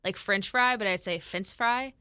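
A woman's voice: mid-range voice, normal speed, clean tone, soft background music. A woman on a radio show crew, joining in with casual banter. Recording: loud voices and laughter behind.

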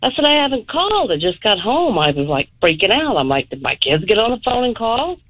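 A woman's voice: mid-range voice, very animated, a little fast, fairly bright tone, no room sound. A middle-aged woman phoning in to a radio show, telling a personal story in a rambling, earnest way. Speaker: radio call-in guest. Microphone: telephone. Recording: muffled phone call to radio.

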